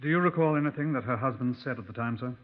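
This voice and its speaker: Man acting a measured police inspector on dull old radio. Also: mid-range voice, lightly acted. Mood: probing. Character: measured, persistent Scotland Yard police inspector. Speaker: narrator and lead actor. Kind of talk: 1950s radio crime drama narration and dialogue. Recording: dull 1950s radio recording.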